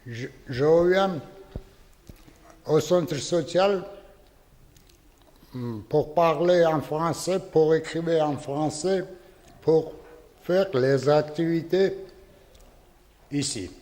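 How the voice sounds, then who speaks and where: A man from Iran with an Iranian accent, speaking French as a learner in halting, simple answers. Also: on the high side, a little mellow. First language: not French. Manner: halting, word by word. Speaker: language course student. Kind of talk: simple prepared interview answers. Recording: long hall reverb.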